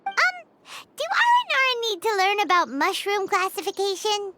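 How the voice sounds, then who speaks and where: A woman voicing a bold, chirpy small companion in a game, blunt and excitable. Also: extremely high pitch, moderate pace, noisy background. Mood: curious. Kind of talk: game voice acting.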